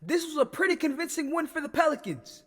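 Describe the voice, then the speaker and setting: A man's voice: very high voice, very animated, fast, fairly bright tone, big-room sound. A man trading blunt, slangy comedic banter as the sidekick in a sports recap.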